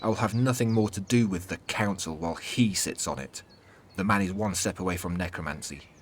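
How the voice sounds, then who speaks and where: A man voicing a forthright, sharp-tongued older woman with magic powers in a fantasy audio story. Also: low voice, brisk, slight background noise, close to an everyday voice. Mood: angry. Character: stern, playful older woman, protective grandmother with magic.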